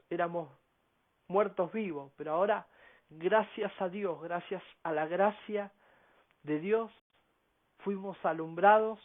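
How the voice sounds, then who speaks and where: A man giving a slow, earnest radio sermon as preacher, often tagging his points with a questioning "no?". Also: high-pitched. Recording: phone-like narrow band.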